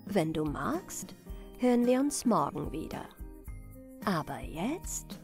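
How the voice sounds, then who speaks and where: A woman reading a bedtime fairy tale as storyteller, in a gentle, expressive manner. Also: on the low side, takes it slowly, soft background music.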